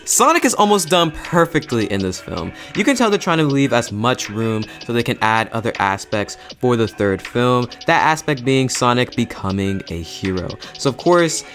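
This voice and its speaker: A man's voice: mid-range voice, fairly bright tone. A young man hosting a casual video review in an enthusiastic, slangy, emphatic way. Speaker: YouTube host and film reviewer.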